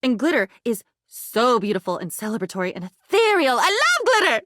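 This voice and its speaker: A woman playing a newly self-aware female being in a video game, excitable, whimsical and vulnerable, with a dramatic, wordy delivery that halts and gushes. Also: fairly high pitch, no echo, studio quality. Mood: dreamy, musing. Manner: dramatic, wordy, halting then gushing. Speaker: video game character voice actor. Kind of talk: animated game dialogue, theatrical monologue.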